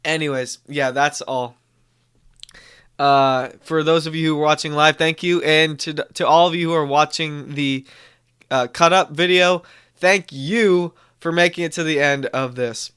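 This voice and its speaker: A young man, a little congested from a cold, talks to viewers on a live stream. His voice is bright and smooth and sits a bit high, and he rambles, stopping often to correct himself. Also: fairly even intonation, measured pace. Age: young adult. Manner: rambling, self-correcting, upbeat. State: stuffy from a cold, coughing. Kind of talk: casual live-stream chat.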